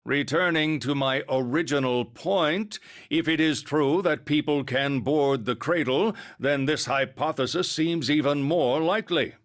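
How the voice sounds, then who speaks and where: A man voicing an erudite, composed scientist in a video game, explaining things in a formal, measured, lecturing way. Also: a little high, lightly acted. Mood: composed, matter-of-fact. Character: calm, erudite male scientist lecturing on grave theories. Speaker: character in a video game story scene. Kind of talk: dramatic game voice acting, expository lecturing. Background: music.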